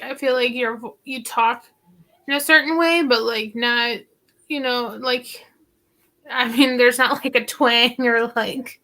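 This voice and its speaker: A woman's voice: fairly high pitch, pushed and bright. A woman hosting a casual livestream chat about vintage finds, rambling with lots of filler words. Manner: rambling, heavy use of "like" fillers. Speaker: host of a vintage thrifting channel.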